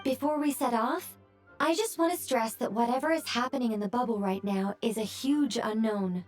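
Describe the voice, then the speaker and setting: A cautious female angel from a video game acted by a woman, with a fairly high voice. She is measured and matter-of-fact with a wry edge, over faint music. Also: natural voice. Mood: cautioning. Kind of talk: animated game dialogue.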